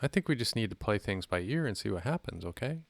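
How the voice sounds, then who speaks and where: A man's voice: lowish voice, very animated, fast talker, vocal fry, no room sound. A man acting a devoted, unworried companion in an audio drama, casual and reassuring. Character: protective, unworried male companion, upbeat, devoted. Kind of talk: naturalistic audio drama dialogue.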